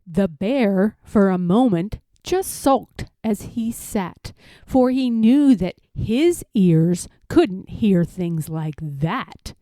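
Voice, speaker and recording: Female storybook narrator, soft-toned and animated, deliberate rhythmic delivery, close dry sound. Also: mid-range voice, no echo. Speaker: storybook reader. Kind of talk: expressive scripted rhyming story narration.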